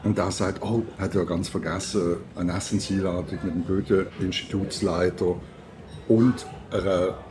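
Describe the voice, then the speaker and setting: A man's voice: low-pitched, fairly even intonation, measured pace, background noise. A man telling anecdotes casually in loose, fragmented sentences.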